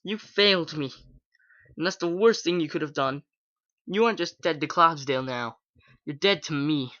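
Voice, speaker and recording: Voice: mid-range voice, normal speed, bright, forceful tone, highs cut off, close to an everyday voice. A reader voicing a villainous adult mare pegasus who gloats and rages with contempt in a fan fiction reading. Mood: furious. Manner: gloating, then screaming with contempt.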